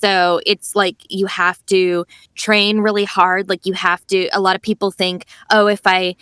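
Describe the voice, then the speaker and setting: A woman's voice: mid-range voice, a little slow, smooth, dry recording. Chatty woman on a dry mic. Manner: chatty, animated, many "like" and "you know" fillers. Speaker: voice actress guest. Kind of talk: casual podcast interview.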